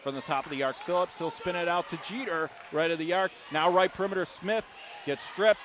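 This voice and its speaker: A man doing live play-by-play basketball commentary on the radio in animated, clipped bursts. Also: high voice, a little fast, noisy background. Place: indoor basketball arena.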